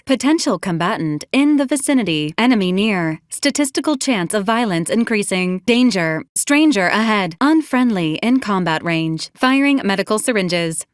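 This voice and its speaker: A woman's voice: medium pitch, no echo, close to an everyday voice. A dutiful female robot companion from a video game, acted by a woman. She speaks in precise, formal, machine-like phrases with a clinically upbeat politeness. The sound is close and dry, with no room around it. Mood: alert, brisk. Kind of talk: scripted video game voice lines.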